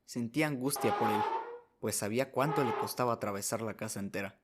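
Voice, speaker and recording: Guy narrating a radio drama, scripted storytelling, normal mid pitch voice. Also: fairly quick, very noisy.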